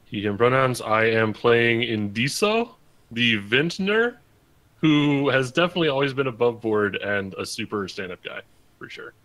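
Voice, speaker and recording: A man with an ordinary mid-pitched voice, chatting as a tabletop game player. His humor is dry and understated. It comes through an online voice call. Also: big pitch swings, moderate pace, a bit bright, rough recording. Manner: dry, joking. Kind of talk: casual chat in a tabletop game.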